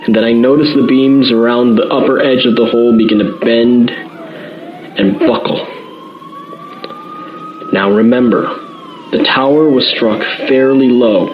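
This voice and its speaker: A man plays a male field reporter in a radio drama, with a medium-pitched voice. He gives an earnest, awed eyewitness account. The link sounds dull, with clear noise behind him. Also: fairly even intonation, unhurried, pauses often, close to an everyday voice. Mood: awed, descriptive. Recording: noisy, muffled outdoor radio link.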